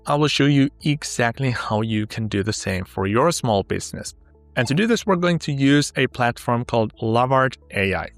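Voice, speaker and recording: A man whose first language is Finnish, upbeat and walking step by step through a sponsored software tutorial. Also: mid-range voice, no echo. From Finland. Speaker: tech tutorial host.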